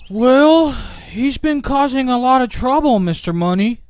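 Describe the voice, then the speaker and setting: A man acts out an earnest suburban father for a Minecraft roleplay. His voice is extremely high, smooth and dry. He is polite and explains himself in deliberate phrases. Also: lively intonation, dry recording. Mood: earnest, complaining.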